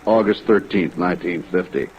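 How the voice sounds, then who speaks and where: A man's voice: low voice, moderate pace, darkish tone, slightly rough. An actor with an American accent playing a genial, matter-of-fact insurance man in a 1950s radio drama, conversational and plain-spoken. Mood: businesslike. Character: genial, matter-of-fact male insurance office colleague briefing an investigator. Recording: muffled 1950s radio with faint noise.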